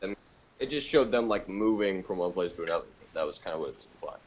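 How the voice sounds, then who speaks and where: Lower pitched guy chatting casually on a review podcast, kinda dull audio. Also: animated, fast talker, a little mellow. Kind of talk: casual discussion.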